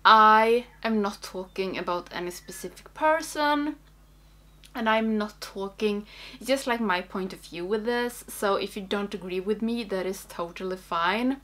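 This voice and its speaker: Slow-paced young Swedish woman, casual vlogger. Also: medium pitch, fairly bright tone. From Sweden. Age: young adult. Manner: hesitant, halting, thinking aloud. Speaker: makeup video creator. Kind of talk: casual chatty get-ready-with-me vlog. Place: small indoor room.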